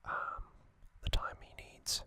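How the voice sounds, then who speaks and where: A man whispering trivia as an ASMR host into a close microphone, softly, with frequent ums and uhs. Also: slow pace. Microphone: close sensitive mic.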